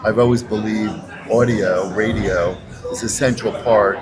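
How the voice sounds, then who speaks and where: A man in his sixties with a lowish voice talks as an executive guest on a podcast. He sounds earnest, stressing points by rephrasing them. A crowd chatters clearly behind him. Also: steady tone, unhurried. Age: 60s. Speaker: interview guest, advertising agency chief executive. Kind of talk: conversational podcast interview. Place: podcast booth at a busy conference.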